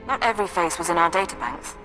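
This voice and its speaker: An actress playing an efficient, resolute intelligence operative in a sci-fi game, delivering crisp briefings. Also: female voice, fairly low pitch, expressive, fast, sharp tone, soft background music, low-quality recording. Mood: businesslike. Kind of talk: scripted sci-fi game acting.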